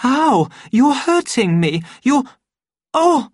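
A woman's voice: huge pitch swings, drawn-out words, darkish tone, no room sound, studio quality, exaggerated voice. A woman voicing an emotional young woman in a dramatised audiobook reading. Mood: terrified, pained. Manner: breathy coaxing turning to shrill pleading.

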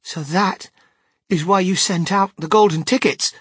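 A man voicing an earnest, excitable little boy in a storybook, with an eager, emphatic delivery. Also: mid-range voice, normal speed, breathy edge, dry recording, studio quality, voice pushed up. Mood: earnest, anxious.

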